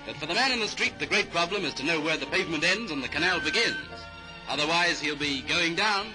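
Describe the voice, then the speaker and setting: A British man narrates a 1930s newsreel in a fairly high voice. His scripted commentary is clipped and formal to the period. It comes from a vintage soundtrack, with music clearly playing behind him. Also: rough recording.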